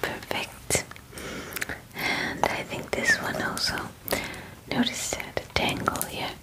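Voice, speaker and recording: A young woman whispers very slowly in a breathy ASMR roleplay. She is gentle and soothing, often repeating little words softly as she plays an attentive groomer close to the mic. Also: poor audio. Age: young adult. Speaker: ASMR performer playing a spa groomer. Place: small indoor room. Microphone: close mic, speaking near it.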